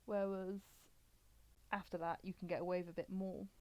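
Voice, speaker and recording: A woman's voice: fairly low pitch, little pitch movement, moderate pace, dark tone, dry recording, rough recording. A woman hosting a live stream with casual, rambling, explanatory commentary.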